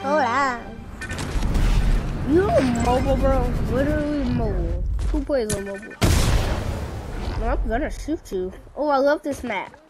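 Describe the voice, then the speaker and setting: A woman's voice: sits high, a little slow, pauses often, loud music behind the voice. A woman commenting on her own game play, whining and teasing other players with playful trash talk. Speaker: gamer. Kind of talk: live gameplay commentary.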